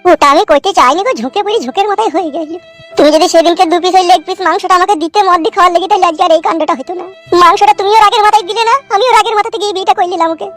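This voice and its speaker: A man's voice: very high voice, rapid-fire, a bit bright, faint music behind. A man playing a put-upon, rueful village husband in a comic cartoon, plaintive and coaxing.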